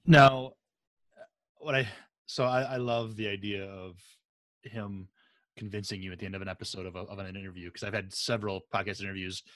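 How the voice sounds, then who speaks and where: A man's voice: fairly low pitch, fairly even intonation, a little fast, fairly bright tone, no room sound. A man interviewing casually as a podcast host, with an enthusiastic, effusive manner.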